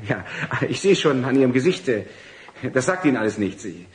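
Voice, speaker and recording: A man's voice: medium pitch, sing-song, moderate pace, a little hiss or hum, close to an everyday voice. A man playing a fawning, self-important schemer in a radio drama, wheedling and pompous with flustered bluster. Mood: wounded, placating. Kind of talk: theatrical radio drama acting.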